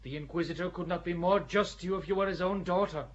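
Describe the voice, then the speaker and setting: A solemn, compassionate male cleric played by an actor in an audio drama. His voice sits a little high and he speaks persuasively and gravely. The recording is slightly dull. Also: quick pace, lightly acted. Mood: grave reproof. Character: earnest, pitying churchman at a heresy trial. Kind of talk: audio drama acting of a stage play.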